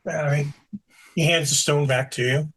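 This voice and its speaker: A man's voice: a little high, moderate pace, poor audio. Man narrating a tabletop game. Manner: relaxed, explanatory, with frequent fillers. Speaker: game master.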